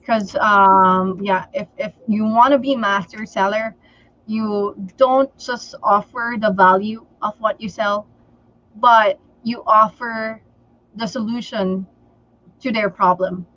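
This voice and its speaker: A woman from the Philippines whose first language is Tagalog, giving sales advice in a livestream interview and often pausing on "okay" and "um". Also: medium pitch, fairly even intonation, fairly bright tone, no echo, highs cut off. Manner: slow and deliberate, many fillers like okay and um. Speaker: sales trainer guest.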